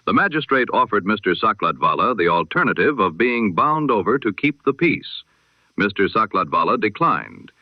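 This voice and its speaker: A low-voiced man reads the news like an old-time radio announcer, his pitch rising and falling widely as he lands each point. He slows for dramatic pauses. The sound is dry and dull, like an old lo-fi radio set. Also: very animated, no room sound. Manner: measured, emphatic delivery with dramatic pauses. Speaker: newsreader.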